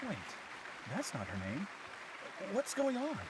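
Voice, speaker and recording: A man's voice: high-pitched, wildly swooping pitch, a little slow, full character voice. A man voicing a nosy, puzzled audience member in a video game. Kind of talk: game voice acting. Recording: crowd murmur and noisy ambience.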